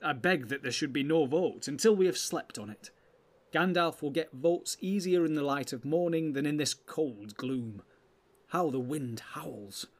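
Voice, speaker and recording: A man playing a gentle, earnest halfling in a fantasy audiobook, speaking politely and hesitantly. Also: a little high, expressive, moderate pace, voice pushed up, natural voice. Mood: reluctant, earnest.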